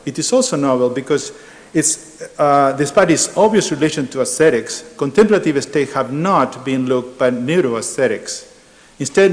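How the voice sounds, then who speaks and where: A middle-aged man with an Argentine Spanish accent giving a measured academic conference talk, with frequent hesitations. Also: mid-range voice. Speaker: architecture professor presenting research. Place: large lecture hall. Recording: reverberant hall.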